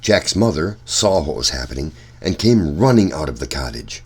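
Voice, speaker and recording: A man reading a children's story aloud as narrator, with deliberate, measured phrasing. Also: extremely low pitch, big pitch swings, breathy edge.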